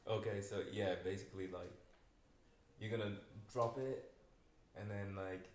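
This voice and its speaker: A young male podcast host with a low, deep voice talks in a laid-back, rambling way. His delivery stays flat, with little rise and fall. The room sounds echoey, with faint noise behind him. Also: a little slow. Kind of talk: casual podcast chat.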